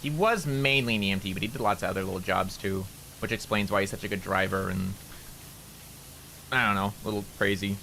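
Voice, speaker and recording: A man with a fairly low voice and a bright, forceful edge rambles to his stream while he plays. His talk is loose and casual, full of "like" and restarts, with faint ambience behind him. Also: brisk, gravelly, a little hiss or hum, low-quality recording. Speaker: VTuber streamer. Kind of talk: casual stream chat while playing a game.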